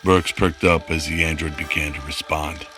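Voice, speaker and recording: A man reads scripted narration for a radio drama. His voice is exceptionally low, and music plays clearly behind him. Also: flat delivery, normal speed.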